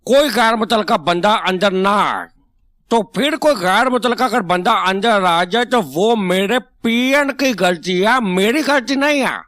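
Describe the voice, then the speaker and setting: A man's voice: high-pitched, expressive, unhurried, a bit bright, no room sound, clean studio sound. A man with a Pakistani Punjabi accent acting a stubborn, bossy, argumentative host on a parody political talk show. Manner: interrupting, bossy, long-winded.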